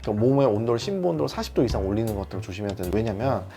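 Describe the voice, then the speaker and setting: Man, skin doctor, brisk and lively delivery, gravelly edge, advising viewers, music underneath. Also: lowish voice. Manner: explanatory, advising with examples. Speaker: dermatologist. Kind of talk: explaining a cosmetic procedure to viewers.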